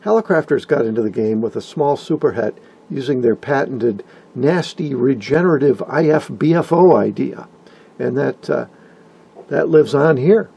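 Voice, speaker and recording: An older man narrating informally from a script, with a husky, gravelly voice and wry, folksy asides. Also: medium pitch, animated, moderate pace, dark tone. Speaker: hobbyist video narrator. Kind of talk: informal scripted narration about vintage radios.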